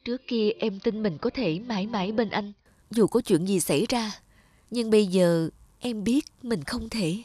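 A woman playing a gentle, sorrowful young woman in a cartoon drama, speaking earnestly and plaintively. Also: medium pitch, moderate pace, breathy edge, no room sound, lightly acted. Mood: sad, resolute. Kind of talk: cartoon drama acting with reflective narration.

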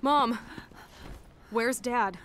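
A woman's voice: fairly high pitch, drawn-out words, a bit bright, a little hiss or hum. A woman playing a distraught young soldier in a video game, urgent and informal. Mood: alarmed. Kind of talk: dramatic video game acting.

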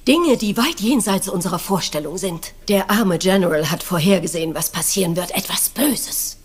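An actress playing an uneasy lady of a country house, full of foreboding, with a husky voice in a radio crime drama. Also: on the low side, normal speed. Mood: ominous.

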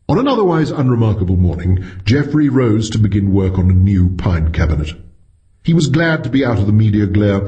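A male audiobook narrator reads science fiction in an expressive storytelling manner. His voice sits at a medium pitch and moves widely up and down as he reads the scripted prose.

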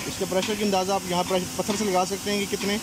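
A man's voice: sits high, level tone, rapid-fire, noisy background. A man with a Pakistani accent giving an instructional live product demonstration outdoors, explaining as he goes. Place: outdoors, on a street and a rooftop.

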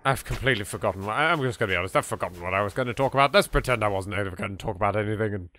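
A man's voice: lowish voice, rapid-fire, sharp tone, dry recording. A man with an English accent giving jokey, rambling, improvised let's play commentary. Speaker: gaming host.

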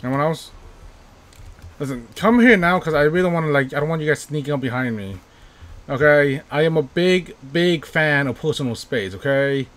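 A man's voice: a little high, expressive, moderate pace, fairly bright tone. Male let's-play host, casual and self-mocking, repeats phrases under pressure. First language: not English, by his own joke. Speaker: gaming YouTuber.

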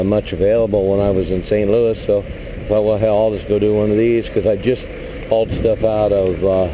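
A man, a truck driver, talking casually and rambling as he walks around his flatbed load outdoors, recorded on a body-worn camera. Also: lowish voice, noisy background. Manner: rambling, plain-spoken, mild cursing. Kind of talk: casual walkaround vlog. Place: outdoors at a truck lot beside a flatbed trailer. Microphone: body-worn action camera.

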